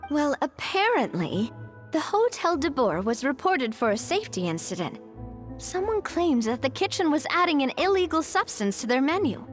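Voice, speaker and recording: A woman's voice: high voice, big pitch swings, moderate pace, over music. A woman playing a casual, friendly young woman who knows the local gossip in a video game. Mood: explaining.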